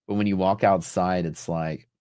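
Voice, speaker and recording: Deep-voiced man chatting on a livestream. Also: steady tone, moderate pace, darkish tone, clear, resonant tone, dry recording, clean studio sound. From Texas, United States. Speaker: livestream host, futures trader. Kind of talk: casual livestream chat, storytelling.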